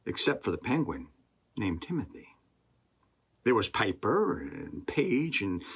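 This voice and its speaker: A man with a husky voice narrates a rhyming story for children. His delivery is slow and deliberate, with expressive pauses. The pitch sweeps widely as he reads.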